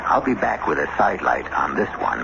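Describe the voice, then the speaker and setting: A man hosting a radio drama, narrating in a deliberate, conversational storytelling way with pauses. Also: a bass voice, very animated, creaky. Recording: muffled, very noisy old radio recording.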